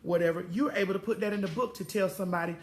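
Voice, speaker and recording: A woman's voice: medium pitch, fairly even intonation, racing, slight background noise. A woman in her forties hosting a casual talk show, profane and preachy, drawling out her stories. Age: 40s. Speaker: host.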